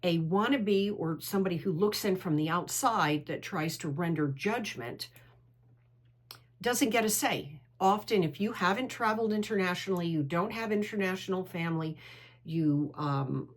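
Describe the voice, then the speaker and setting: A woman in middle age with a fairly low voice, speaking her mind in an interview. She talks candidly and drifts from one story to the next, firm in her opinions and casual in tone. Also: moderate pace. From United States. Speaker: women's travel club host. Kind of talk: interview answer, conversational monologue.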